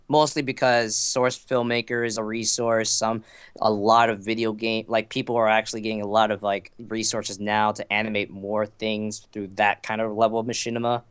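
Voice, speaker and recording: A man's voice: on the low side, clear, resonant tone, dry recording. A man chatting casually as a podcast guest, enthusiastic and rambling, often repeating himself. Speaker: podcast guest, amateur voice actor and fandubber.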